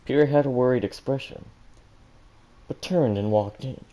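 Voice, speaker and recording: A man's voice: mid-range voice, a little slow, mellow. A man reading a story aloud as an amateur, stumbling now and then over words and correcting himself. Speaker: fan fiction reader and commentator.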